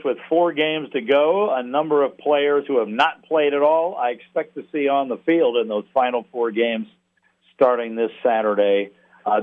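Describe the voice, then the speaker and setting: Male sports broadcaster guesting by phone, thin narrow-band line, analytical, halting delivery with mid-thought pauses. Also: mid-range voice. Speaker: radio play-by-play announcer, interview guest. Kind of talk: sports interview, analytical talk.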